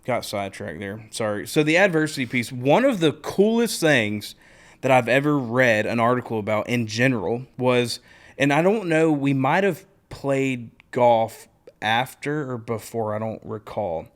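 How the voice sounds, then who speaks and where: A man's voice: medium pitch, animated, moderate pace. A man hosting a podcast, asking questions casually and responding with eager enthusiasm. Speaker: podcast host.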